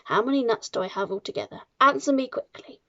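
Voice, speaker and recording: A woman's voice: on the high side, brisk, creaky, no room sound, muffled recording. A woman voicing a tyrannical, explosively angry older headmistress in a children's storybook, barking and sneering as she stretches out her insults. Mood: furious, bellowing. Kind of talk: children's storybook character reading.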